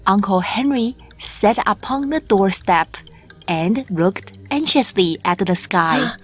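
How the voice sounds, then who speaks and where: Slow-paced woman narrating a children's storybook. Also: mid-range voice, lively intonation, breathy edge. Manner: measured, deliberate read-aloud delivery. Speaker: audiobook narrator. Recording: music bed under the voice. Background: background music.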